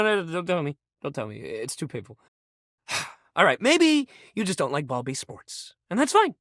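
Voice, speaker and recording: A man's voice: high voice, pushed and bright, dry recording, studio quality, exaggerated voice. An actor playing an upbeat, friendly but sensitive young male sports jock in a video game, talking in casual, emphatic bro speak. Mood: hurt, pleading. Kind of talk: video game character dialogue.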